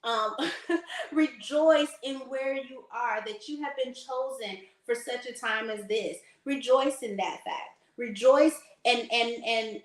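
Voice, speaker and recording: A slightly hoarse woman hosting a faith talk, preaching casually to her audience in a sassy, emphatic way. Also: on the high side, roomy sound. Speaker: faith talk host and lifestyle consultant.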